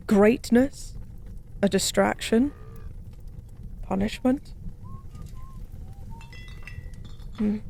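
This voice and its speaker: A woman playing a forgotten goddess as a tipsy pub stranger in an intimate audio roleplay, speaking softly in slow, wistful philosophical rambles. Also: a little high. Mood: wry, probing. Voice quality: soft. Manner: slow, musing, rambling, teasingly cryptic.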